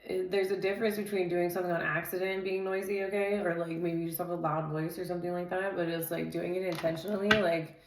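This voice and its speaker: A woman with a somewhat low, loud voice chats her way through a tarot reading, filling every pause with "like" and "dude." The room is echoey, and a faint hiss runs underneath. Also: steady tone. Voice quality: says she has a naturally loud voice. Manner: chatty, loose, filler-heavy, says "dude" and "like" constantly. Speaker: tarot reader. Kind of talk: casual tarot reading and rambling chat.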